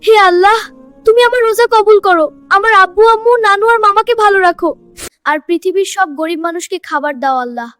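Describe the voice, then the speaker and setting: An eager little boy, played by a woman, speaking in a very high, childlike voice. He is innocent and devout, full of questions, in a children's Islamic cartoon. The sound is close and dry over music. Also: a little fast, fairly bright tone, no echo. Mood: earnest, devout. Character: earnest, eager, devout little boy keeping his first fast.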